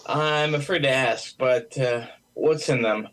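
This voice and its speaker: A skeptical man played by an actor in an amateur audio play. His pitch is average and his delivery dry and hesitant. He sounds like a sarcastic coworker who would sooner not join in. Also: measured pace, fairly bright tone, lightly acted.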